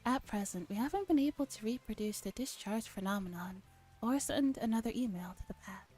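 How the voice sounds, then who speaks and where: A woman streamer reading a visual novel aloud in a soft ASMR style, with casual asides. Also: medium pitch, fairly quick, faint music behind.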